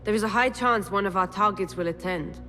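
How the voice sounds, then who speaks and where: An actress as a shrewd female spymaster in a video game. Her cool, mid-pitched voice rings in a long hall reverb over faint music. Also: a little fast, pushed and bright, close to an everyday voice. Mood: cool, knowing. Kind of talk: video game character acting.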